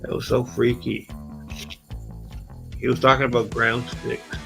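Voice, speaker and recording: Casting: Canadian-accented male stream host, slow, gravelly, going hoarse, excitable rambler. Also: mid-range voice, faint music behind. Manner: rambling, excitable, exclaims often. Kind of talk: casual live stream chat.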